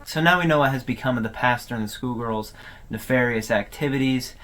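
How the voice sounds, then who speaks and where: A man's voice: mid-range voice, a little fast, a bit bright, rough recording. Man casually recapping a story. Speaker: video host recapping an online horror series. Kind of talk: casual explanatory storytelling commentary.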